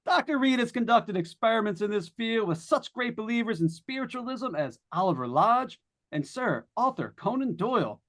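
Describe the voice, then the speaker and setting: A breezy radio ghost hunter, acted by a man in a 1940s-style radio play. The voice sits high and moves in lively swings. He addresses listeners like a folksy showman, always ready with a quip. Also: normal speed. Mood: showy, upbeat. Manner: chatty, folksy showman delivery. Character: breezy, joking male radio host hunting ghosts. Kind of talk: 1940s live radio drama acting.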